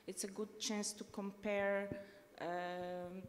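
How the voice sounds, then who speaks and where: A woman moderating a panel discussion, speaking slowly and hesitantly with many fillers. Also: medium pitch, flat delivery, a little hiss or hum. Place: conference hall. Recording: long hall reverb.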